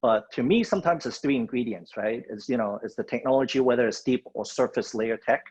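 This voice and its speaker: A man's voice: medium pitch, brisk, a little mellow, breathy edge. A man from Hong Kong giving a guest talk on a video call, speaking loosely and conversationally with many fillers. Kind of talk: webinar presentation. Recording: dull lo-fi video call audio.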